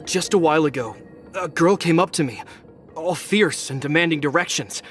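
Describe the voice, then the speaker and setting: A timid townsman from a video game, voiced by an actor, talks in a fairly high male voice. He is earnest and a little hesitant, with faint music behind him. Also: moderate pace, a bit bright, close to an everyday voice. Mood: nervous recounting. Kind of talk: video game character acting.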